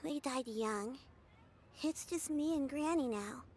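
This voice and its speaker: A woman's voice: high voice, takes it slowly, a little mellow, a little hiss or hum. A woman voicing a cheerful, brave young girl who cares for her grandmother, upbeat and reassuring. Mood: earnest.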